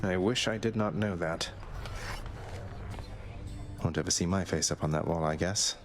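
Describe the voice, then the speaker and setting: Man as a very deep, wry rebel prince. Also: normal speed, over music, lightly acted. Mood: wry, understated. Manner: dry, understated, politely sardonic. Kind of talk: video game voice acting.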